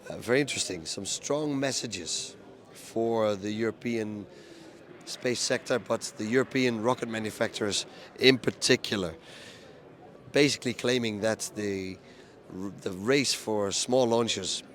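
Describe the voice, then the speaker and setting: A man with a low, hoarse voice talks through a conference recap for his video channel. He speaks plainly and steadily, with frequent ums and uhs. The rasp from a lost voice stays through every line. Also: unhurried, a bit bright. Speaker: event reporter recapping a conference. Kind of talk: casual spoken recap to camera.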